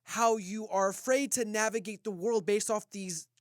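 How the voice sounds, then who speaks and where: Opinionated man, higher-pitched, close dry sound. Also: fairly even intonation, normal speed, no echo. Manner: opinionated, emphatic, challenging. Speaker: podcast co-host. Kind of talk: casual podcast debate.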